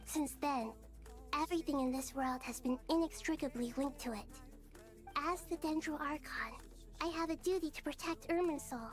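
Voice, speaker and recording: A woman playing a small, earnest young goddess in a video game, speaking in a measured, formal and solemn way. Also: sits high, natural voice. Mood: solemn, explaining. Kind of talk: scripted video game dialogue. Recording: game music behind the voice.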